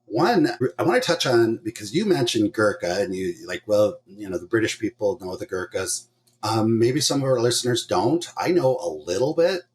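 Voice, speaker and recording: A man's voice: lowish voice, expressive, normal speed, husky. A man hosting an adventure travel podcast, speaking in an upbeat, enthusiastic way. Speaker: podcast host. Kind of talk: scripted intro, then casual interview.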